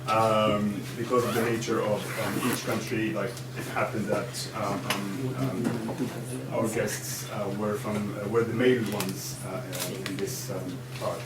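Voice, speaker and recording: A man from Syria chairing a conference panel, speaking haltingly with many fillers and restarts. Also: lowish voice, fairly even intonation. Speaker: panel moderator. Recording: echoey room from far off, background noise.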